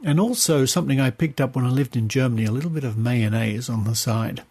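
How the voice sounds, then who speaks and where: A man's voice: mid-range voice, fast talker, darkish tone, slightly rough. An Australian man hosting a podcast in a chatty, conversational way, given to rambling asides. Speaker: podcast host.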